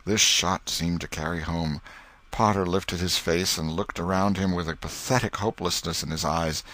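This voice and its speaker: A man narrating an audiobook in an expressive, literary storytelling style with a dramatic delivery. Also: low-pitched, normal speed.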